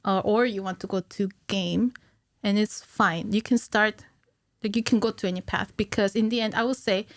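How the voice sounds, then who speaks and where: A woman's voice: medium pitch, fairly even intonation, moderate pace, no echo. Woman chatting, close dry studio sound. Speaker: podcast guest, animator. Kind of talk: casual interview chat. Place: small indoor podcast studio. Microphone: close studio mic on a stand.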